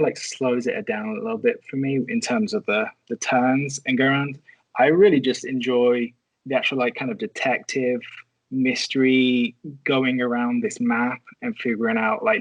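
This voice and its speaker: A man's voice: mid-range voice, husky, dry recording, low-quality recording. A man chatting casually as a guest on a podcast. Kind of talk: casual chat.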